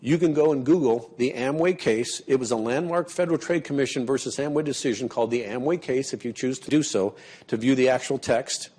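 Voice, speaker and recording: Man, mid pitch, seminar host, steady measured reading. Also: slightly rough. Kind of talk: reading an article aloud, then introducing a speaker.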